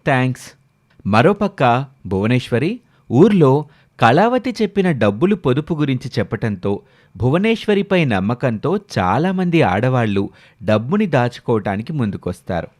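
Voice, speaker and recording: A man's voice: mid-range voice, sing-song, normal speed, a little mellow. A man narrating a scripted story as the storyteller.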